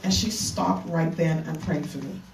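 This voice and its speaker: A woman's voice: sits low, vocal fry, darkish tone, far from the mic, slight background noise. Earnest Bahamas-born woman preacher, echoey room. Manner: earnest, halting with fillers and restarts. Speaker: keynote speaker and minister. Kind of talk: retreat keynote talk. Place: conference room. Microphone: handheld mic.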